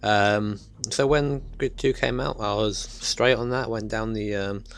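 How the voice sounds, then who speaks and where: A young man, chatting casually and hesitantly about a racing game he is playing, his voice croaky. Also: fairly low pitch, steady tone, a little slow. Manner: rambling, hesitant, with many ums. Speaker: gaming YouTuber. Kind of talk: casual gameplay commentary.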